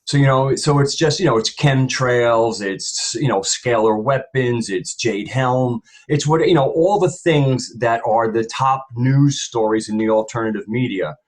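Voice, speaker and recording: A man with a slightly husky voice of average pitch speaks as a panelist. He gives his opinions emphatically, rambling through points and repeating words to press them home. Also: a little slow, hardly any pauses.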